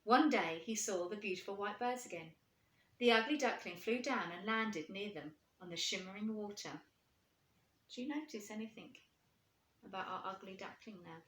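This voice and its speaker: A woman reading a storybook to children, expressive and putting questions to her young listeners. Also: medium pitch, normal speed, slight background noise. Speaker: primary school teacher reading aloud. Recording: echoey room.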